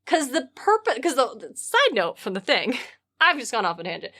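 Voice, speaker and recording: A woman hosting a podcast in casual, comedic chat, animated and rambling with slangy asides. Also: a little high, brisk. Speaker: podcast host.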